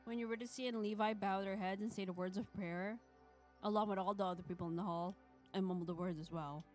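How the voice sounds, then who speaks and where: A woman's voice: medium pitch, fairly even intonation, fairly quick, darkish tone, soft background music. A woman reading a visual novel's first-person narration aloud on a stream, with casual asides.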